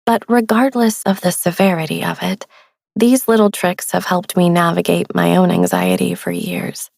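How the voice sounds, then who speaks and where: Soft, breathy low-pitched woman. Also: lively intonation, dry recording, studio quality. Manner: gentle, soothing, unhurried delivery. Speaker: host of a sleep meditation podcast. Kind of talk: guided relaxation, scripted podcast narration. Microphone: close studio microphone, dry.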